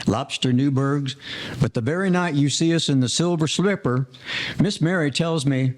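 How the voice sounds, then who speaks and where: A man's voice: fairly high pitch, moderate pace, natural voice. A man playing a street-wise rum-runner in a 1940s-style audio play, talking in mannered, formal slang. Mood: rueful. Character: lovelorn male Prohibition rum-runner, wordy street-wise gangster.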